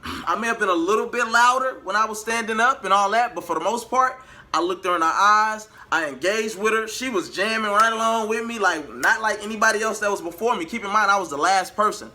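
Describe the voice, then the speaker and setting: A man vlogging in a casual, colloquial storytelling style, animated and acting out bits of dialogue as he goes. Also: high voice, brisk. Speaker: vlogger and singer.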